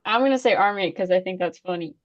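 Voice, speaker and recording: A woman's voice: medium pitch, fast talker, dry recording. A woman guest on a casual podcast who teases the hosts sarcastically and laughs often. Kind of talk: casual chat. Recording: dull dry remote audio.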